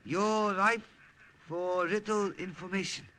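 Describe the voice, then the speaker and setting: An actor playing a smoothly polite but threatening army colonel, in formal, clipped old-time radio drama style. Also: male voice, sits high, steady tone, slow pace, pushed and bright, heavily acted. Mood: coolly polite. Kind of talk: 1940s-50s radio drama acting.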